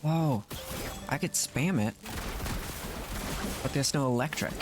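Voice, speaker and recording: A man's voice: medium pitch, takes it slowly, over music. A man doing casual live game commentary, talking to himself and reacting as he plays. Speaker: game streamer.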